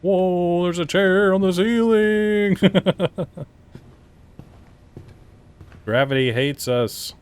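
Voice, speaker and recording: A man playing and reviewing a game, thinking aloud in casual, laid-back, slightly halting commentary. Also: high voice, clean tone.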